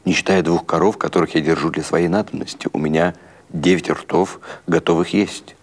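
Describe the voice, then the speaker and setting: A man playing an anxious small-time broker in an audiobook story, halting, roundabout and deferential. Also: low voice, fairly even intonation. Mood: worried. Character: anxious, wheedling small-time Odessa broker with many mouths. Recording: music under the voice.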